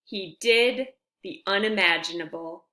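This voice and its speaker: A woman reading a picture book aloud to young children, with expressive, deliberate phrasing and friendly asides. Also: medium pitch, echoey room, a little hiss or hum.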